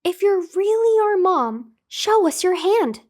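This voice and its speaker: A small, wary, clever child from a storybook, voiced by a woman reader. The voice is very high and earnest, and the words come slowly. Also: sing-song, a little mellow, exaggerated voice. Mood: suspicious. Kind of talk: bedtime folktale storybook reading.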